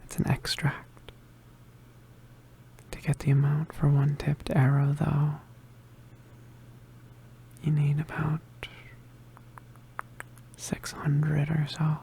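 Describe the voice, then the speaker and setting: A man playing a badly injured, weary young male witch in an ASMR roleplay, murmuring haltingly in a weak, pained voice. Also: on the high side, completely flat delivery, gravelly, dark tone, slight background noise. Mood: weary, explaining. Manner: halting, hesitant, murmured, understated. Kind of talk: ASMR roleplay, soft intimate whispering.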